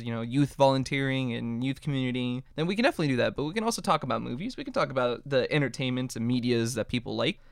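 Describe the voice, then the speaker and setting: A man's voice: mid-range voice, fairly bright tone, no echo, studio quality. A man hosting an interview podcast, chatty and upbeat in his delivery. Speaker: podcast host. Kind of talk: podcast interview and show intro.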